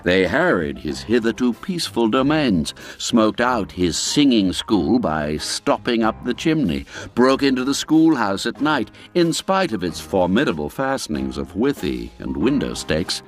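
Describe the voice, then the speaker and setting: Expressive male storyteller with very lively pitch. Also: unhurried, hardly any pauses, faint music behind. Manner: theatrical, expressive, literary delivery. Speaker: audiobook narrator. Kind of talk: dramatic scripted storytelling narration of a classic tale.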